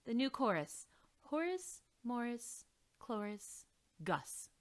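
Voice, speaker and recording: Expressive woman reading a children's book. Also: fairly high pitch, slow pace. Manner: expressive, storytelling. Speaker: read-along reader. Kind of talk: children's storybook read-aloud.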